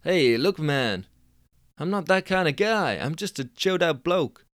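A man voicing a laid-back male barman, relaxed and unbothered. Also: a little high, unhurried, dry recording, studio quality, voice pushed up.

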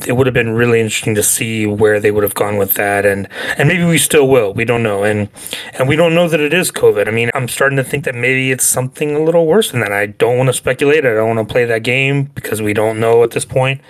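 Male co-host, low pitch, casual off-the-cuff music commentary, conversational. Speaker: podcast co-host.

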